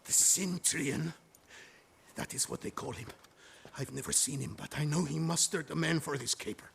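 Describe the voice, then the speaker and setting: A man's voice: on the high side, moderate pace, slight background noise. A man playing a coarse, jittery, cowardly bandit prisoner in a video game, his voice strained. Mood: fearful confession. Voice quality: creaky, sharp, strained. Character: insolent low-born bandit prisoner, cowardly under threat. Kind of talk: video game cinematic acting.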